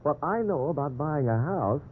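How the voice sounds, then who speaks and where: Actor as a wry young husband, thin old-radio sound. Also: male voice, on the high side, expressive, normal speed, natural voice. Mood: wry, wary. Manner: dry joking, easygoing, folksy. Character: wry, thrifty young husband wary of house prices. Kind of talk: 1950s radio drama acting. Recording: tinny, hissy 1950s radio recording.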